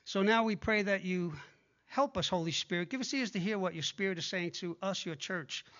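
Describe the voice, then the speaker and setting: A man in his sixties preaching a sermon, speaking conversationally and growing hushed when he prays. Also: high voice, normal speed, lo-fi sound. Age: 60s. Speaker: pastor. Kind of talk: sermon with prayer and scripture reading.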